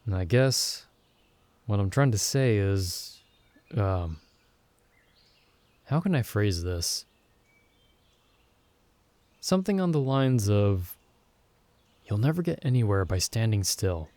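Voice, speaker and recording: A man acting as a caring young friend in a comfort roleplay. The voice is on the low side and soft-toned, mellow and close in a dry space. He talks gently and reassuringly, with thoughtful hesitations. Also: expressive, no echo. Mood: encouraging, earnest. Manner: gentle, soothing, hesitant pauses and soft chuckles. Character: caring, reassuring young male friend stranded in another world. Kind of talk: ASMR comfort roleplay, intimate acted monologue.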